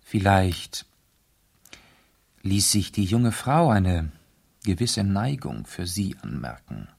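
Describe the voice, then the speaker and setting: A man acting a suave aristocratic amateur detective in a 1970s German radio drama, measured and theatrical with dramatic pauses. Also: sits low, very animated, creaky, darkish tone, exaggerated voice. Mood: grave, deliberate. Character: suave gentleman amateur detective lord recounting a cruel case.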